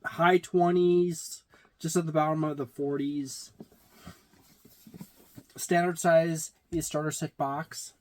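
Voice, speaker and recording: Slow-talking middle-aged man, halting. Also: fairly high pitch, level tone, a little mellow. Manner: halting, matter-of-fact. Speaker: tabletop game reviewer. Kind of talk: casual unboxing and review talk. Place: small indoor room with packed shelves.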